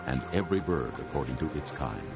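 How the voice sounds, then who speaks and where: A man narrating dramatized scripture as a solemn, measured storyteller. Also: a bass voice, lively intonation, gravelly. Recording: dull sound with music underneath. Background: music.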